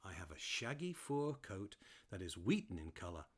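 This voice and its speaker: A man voicing a playful, chatty terrier who tells his own story in an animated, mischievous manner, as audiobook narration. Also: deep voice, normal speed, slightly rough, exaggerated voice. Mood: chatty, friendly. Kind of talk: first-person audiobook narration.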